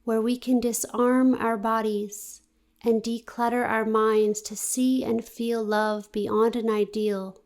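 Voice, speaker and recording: A woman guiding a meditation in a gentle, deliberate, soothing manner with long pauses. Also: on the high side, flat delivery. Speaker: yoga nidra meditation guide. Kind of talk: guided meditation, scripted narration.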